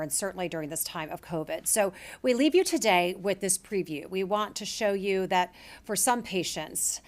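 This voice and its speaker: A woman co-hosting a briefing, introducing a segment in a broadcast presenting style. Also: medium pitch.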